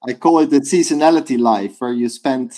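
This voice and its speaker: A man's voice: mid-range voice, a little fast, darkish tone. A man hosting an interview podcast, welcoming his guest and asking curious questions with some restarts. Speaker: podcast host.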